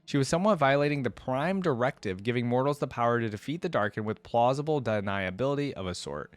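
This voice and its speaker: A man hosting a reaction video, reading aloud and adding casual, curious commentary as he thinks out loud. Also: mid-range voice, lively intonation, fast talker, no echo.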